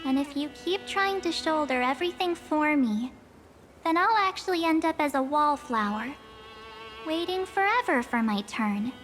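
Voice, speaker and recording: A woman playing a cheerful, sentimental young girl in a video game story, speaking softly and earnestly. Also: sits high, lively intonation, normal speed. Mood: gently chiding. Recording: music behind the voice.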